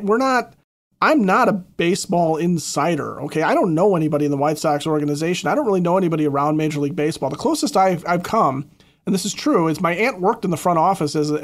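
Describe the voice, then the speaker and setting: A man co-hosting a casual podcast, talking in a wry, rambling, easygoing manner. His voice sits fairly high. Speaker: podcast co-host.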